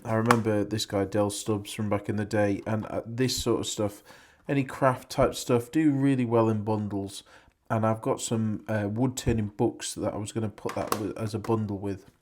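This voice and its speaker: An English man, hoarse and losing his voice, chatting casually in a rambling, self-correcting way about antiques he picked up. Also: fairly low pitch, steady tone, normal speed, background noise. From England. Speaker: antiques reseller vlogger. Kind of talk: casual vlog chat.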